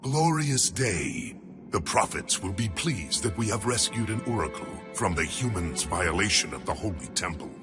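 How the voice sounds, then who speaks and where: Grave alien warrior, deep voice, commanding, expressive intonation, formal, sci-fi game drama. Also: male voice, normal speed. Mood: reverent, puzzled. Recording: music under the voice.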